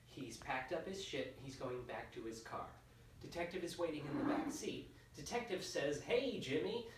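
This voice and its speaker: A man's voice: fairly high pitch, lively intonation, normal speed. A man working as a comedy writer, casually talking through story ideas in a writing session. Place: indoor room at a writing session. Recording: roomy, distant mic, background noise.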